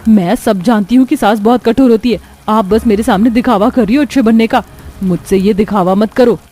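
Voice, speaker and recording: A woman's voice: fairly high pitch, a little fast, warm tone, faint music behind, close to an everyday voice. A woman voicing an anxious, emotional young bride in a cartoon story, suspicious and pleading. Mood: angry, accusing. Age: young adult.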